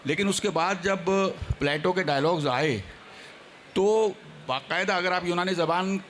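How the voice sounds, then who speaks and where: Panelist, male. Slightly high pitch, formal lecturing delivery with English terms, faint background noise. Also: a little slow, a bit bright. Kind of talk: formal panel discussion talk.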